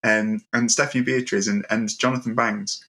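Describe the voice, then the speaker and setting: A man's voice: mid-range voice, steady tone, moderate pace, sharp tone. A man hosting a casual interview, enthusiastic and admiring in how he talks. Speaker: interviewer, host.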